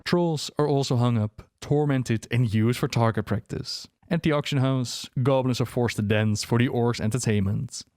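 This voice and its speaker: A man's voice: medium pitch, normal speed, hardly any pauses, darkish tone, dry recording. Male narrator with a dry, close sound. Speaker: video narrator. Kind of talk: scripted lore narration.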